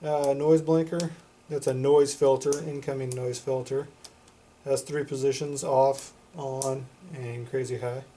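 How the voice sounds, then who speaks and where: A man's voice: mid-range voice, little pitch movement, a little slow, dark tone. A man giving a casual product demonstration as a reviewer, plain-spoken and matter-of-fact. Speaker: product reviewer for a CB radio shop.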